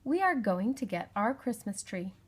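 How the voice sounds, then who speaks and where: A woman voicing a calm, secretive father in a children's storybook, speaking matter-of-factly. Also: medium pitch, moderate pace, breathy edge. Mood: informative.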